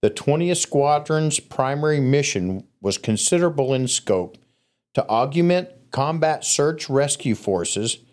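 A man's voice: mid-range voice, a little slow. A man recounting stories he gathered, in a casual, rambling way with fillers, and a scratchy throat that makes him cough. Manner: relaxed, rambling with fillers and restarts. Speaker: guest storyteller. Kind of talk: casual storytelling on a podcast.

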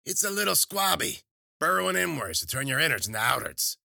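A man's voice: on the high side, big pitch swings, brisk, vocal fry, pushed and bright, no echo, clean studio sound, voice pushed up, exaggerated voice. A man voicing a spiteful old woman witch, scolding and cursing.

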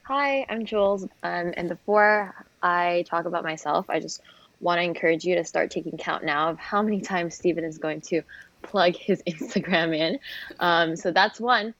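A woman in her twenties from the Philippines, with a slightly low voice, hosts with a dry, sarcastic edge. Her audio sounds muffled and dry, with no room around it. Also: moderate pace, fairly bright tone, no room sound. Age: 20s. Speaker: podcast co-host. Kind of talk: podcast intro, partly read.

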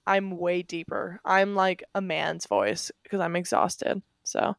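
A woman co-hosting a podcast, chatting casually with many fillers and frequent laughs, in a slightly deep voice. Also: fairly even intonation, slow, creaky, dry recording, clean studio sound. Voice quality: rambling, filler-heavy, laughs often; deeper voice. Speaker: podcast co-host. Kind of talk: casual chat.

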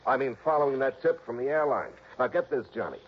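An American man acting a harried, earnest police lieutenant in a 1960s radio drama, speaking with gruff urgency. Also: mid-range voice, expressive, fast talker. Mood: urgent, worried. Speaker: supporting actor in a radio detective serial. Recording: dull old radio broadcast, faint noise.

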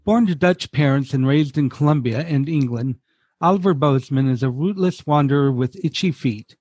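A man's voice: medium pitch, moderate pace, darkish tone, husky, no room sound, faint music behind. A man hosting a podcast, reading introductions and asking friendly, upbeat questions. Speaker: podcast host. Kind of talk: interview hosting, scripted reads.

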